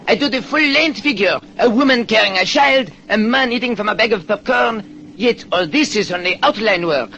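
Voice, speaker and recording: An actor playing a vain, florid little artist with a French accent in an old radio drama. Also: male voice, high voice, lively intonation, moderate pace, pushed and bright, lo-fi sound, full character voice. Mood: boastful. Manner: florid, formal, self-important. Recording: noisy vintage radio recording.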